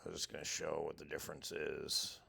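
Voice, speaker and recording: A man with a gravelly, husky voice, casually walking through a software demo and thinking aloud as he goes. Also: very deep voice, flat delivery, fairly quick, background noise. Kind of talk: casual screen-share product walkthrough.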